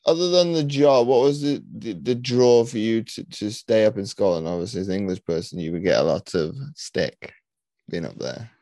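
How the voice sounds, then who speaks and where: A man's voice: lowish voice, lively intonation, normal speed, mellow, no echo. A man hosting a podcast interview, talking casually and rambling, often searching for words and restarting. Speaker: podcast host.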